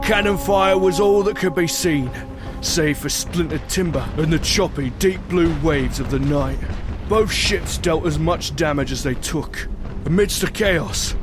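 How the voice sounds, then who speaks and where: A man with a fairly high voice tells a scripted adventure tale. His delivery is dramatic storytelling, set over clearly audible music.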